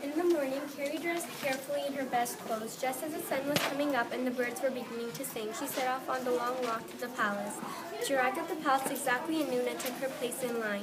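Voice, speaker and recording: A woman's voice: sits high, close to an everyday voice. A female reader narrating a storybook play from a script. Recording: loud room chatter behind.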